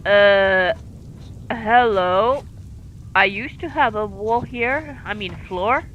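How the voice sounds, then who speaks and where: A woman from Finland with a light Finnish accent, commentating her own live game stream, halting and filling gaps with fillers as she thinks aloud while playing. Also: mid-range voice, muffled recording, a little hiss or hum. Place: home in France.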